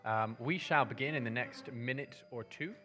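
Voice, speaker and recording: A man in his thirties giving a measured, expressive live dramatic reading as a storyteller. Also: medium pitch, soft background music. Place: indoor book-lined venue before a small live audience.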